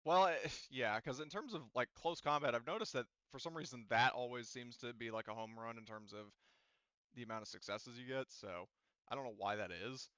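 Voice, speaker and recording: A man's voice: mid-range voice, fairly quick, fairly bright tone, clean tone, no room sound, dull audio. A man running a tabletop roleplaying game as game master, casually thinking aloud as he works through the dice results.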